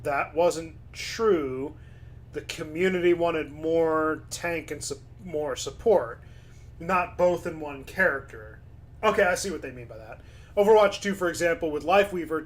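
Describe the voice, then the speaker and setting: A man streaming casually, reading chat aloud and commenting in a laid-back way, his voice starting to go. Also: on the high side.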